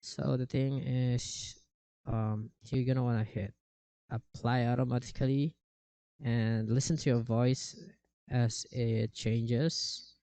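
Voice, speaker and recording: A man giving a casual software tutorial in a halting, step-by-step way, speaking into a cheap microphone. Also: medium pitch, steady tone, no room sound. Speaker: tutorial presenter. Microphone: cheap microphone with software processing.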